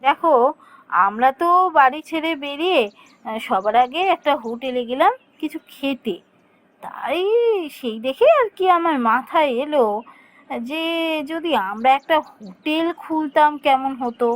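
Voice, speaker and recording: A woman playing a gentle, encouraging young newlywed wife in a Bengali cartoon story. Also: sits high, measured pace. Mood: tentative.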